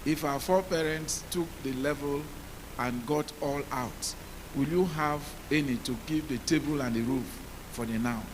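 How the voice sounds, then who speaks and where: A deep-voiced male policymaker on a conference panel, speaking in a measured way and asking the audience rhetorical questions. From Nigeria. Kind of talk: panel talk. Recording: hissy conference mic.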